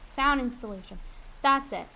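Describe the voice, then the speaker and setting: A young woman with a fairly high voice talks casually as she streams a game. She is candid and rambling, swearing easily. There is noticeable noise behind her on the recording. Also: normal speed, gravelly, bright, forceful tone, rough recording. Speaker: streamer and aspiring voice actress. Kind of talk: casual livestream chat while gaming. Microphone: USB desk condenser mic.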